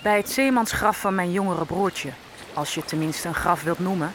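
A woman's voice: fairly low pitch, moderate pace, natural voice. Actress as enslaved woman grieving on a ship, solemn poetic radio drama telling, lots of background noise. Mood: anguished grief. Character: grieving enslaved African young woman captive aboard a ship. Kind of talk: dramatic first-person radio play narration.

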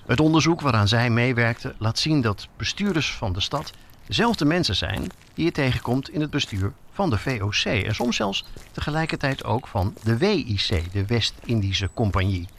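A man's voice: medium pitch, animated, normal speed, fairly bright tone, a little hiss or hum. A man hosting a podcast as a journalist, reading scripted narration that explains things directly to listeners.

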